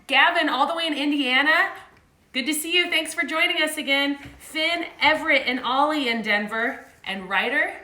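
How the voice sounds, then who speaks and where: A woman's voice: sits high, normal speed, pushed and bright. A woman hosting story time, reading a picture book aloud to children in an upbeat, chatty way. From Colorado, United States. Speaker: story time host and reader. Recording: echoey room.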